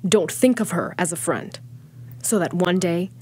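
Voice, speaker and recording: A woman voicing a cold, vengeful young schemer in a dramatic monologue, with a husky, breathy voice. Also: fairly low pitch, measured pace, a little mellow. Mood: cold, commanding.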